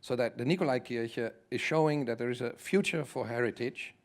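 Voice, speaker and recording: A man's voice: mid-range voice, fairly quick. A man speaking on a conference panel, reflective and thinking aloud in loose, searching phrases. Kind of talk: conversational panel discussion.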